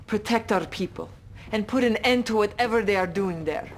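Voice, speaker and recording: A woman's voice: medium pitch, moderate pace, natural voice. A woman playing a fierce officer. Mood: stern. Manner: stern, commanding, patriotic. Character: fierce Soviet woman officer, proud and vengeful. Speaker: Soviet commander. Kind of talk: game cutscene acting.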